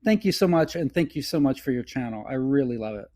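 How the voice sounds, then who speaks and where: A man's voice: mid-range voice, lively intonation, quick pace, dark tone. A man leaving a voicemail as a listener, putting a prepared question in formal wording. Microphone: voicemail message recorded remotely.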